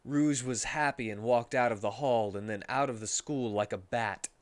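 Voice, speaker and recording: A man's voice: medium pitch, moderate pace, no room sound. A man streaming a dramatic reading of comic fan fiction, narrating in a theatrical, mock-serious way.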